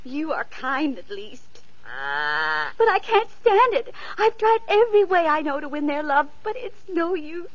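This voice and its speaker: A woman's voice: high voice, animated, measured pace. A woman playing a poor, lonely young girl in an old radio play, pleading and sweet, on a dull lo-fi recording. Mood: tearful. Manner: pleading, tearful. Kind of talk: 1950s radio acting.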